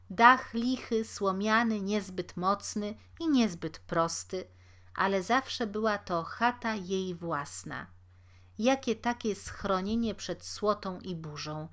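A woman narrating a literary fairy tale aloud in an expressive, deliberate storytelling manner. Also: medium pitch.

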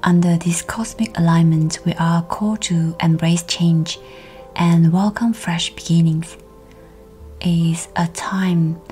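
A woman with a slightly low voice leads a guided meditation very slowly. Her delivery is soft and breathy, often close to a whisper, and soothingly measured. Music plays behind her. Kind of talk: guided meditation, scripted narration. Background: background music.

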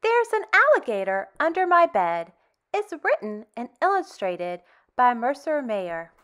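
A woman hosting a storytime for young children, reading and chatting in an animated, expressive, deliberate way. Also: a little high. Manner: animated, expressive, deliberate phrasing with dramatic hushes. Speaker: storytime host. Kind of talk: children's read-aloud, addressing young children.

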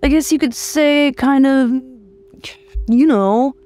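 A woman voicing a bashful but proud young male student in a story, halting and vague. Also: high-pitched, dark tone, pitched above the performer's own voice. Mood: bashful pride.

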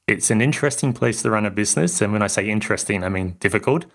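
A man from New Zealand with a mixed New Zealand and Australian accent, speaking calmly as a podcast guest with dry humor. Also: fairly low pitch, fast talker, creaky. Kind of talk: casual conversational interview.

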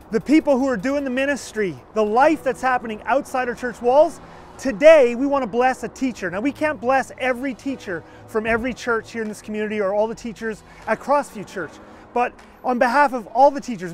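High-voiced energetic pastor outdoors. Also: male voice. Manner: energetic, gesturing, earnest. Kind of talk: enthusiastic church video address. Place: outdoors beside a building. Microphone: lapel mic.

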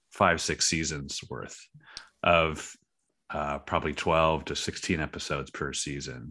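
A man with a very deep voice and a bright, forceful edge speaks as a writer being interviewed. His casual talk comes out haltingly, pieced together as he goes. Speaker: podcast guest, writer and creator.

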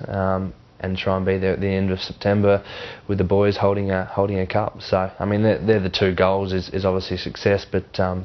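Young man, Australian accent, low voice, flat intonation, halting casual interview delivery. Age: 20s. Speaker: Australian rules footballer. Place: warm indoor lounge with a fireplace. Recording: slightly dull sound.

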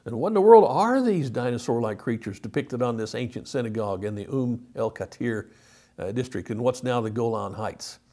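A man's voice: mid-range voice, lively intonation, brisk, dark tone. A man, presenting a lecture as a teacher, emphatic and given to rhetorical questions. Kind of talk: illustrated lecture to camera. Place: indoor studio.

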